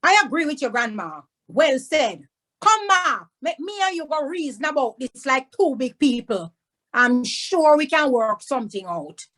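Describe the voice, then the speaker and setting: A woman voicing a cross male landlord, brisk and questioning, in a children's storybook. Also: high voice, big pitch swings, fairly bright tone, pitched above the performer's own voice, exaggerated voice. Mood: conciliatory. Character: cross, annoyed Caribbean landlord, a grown man. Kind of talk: storybook read-aloud.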